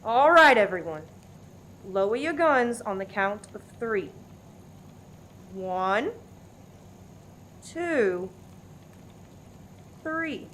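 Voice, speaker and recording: A fairly high-pitched, commanding diner boss played by a woman in a radio farce. She is no-nonsense and deadpan official, with faint noise behind her. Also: very slow, long pauses, heavily acted. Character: bossy, no-nonsense diner manager leading the survivors. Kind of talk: farce radio play acting.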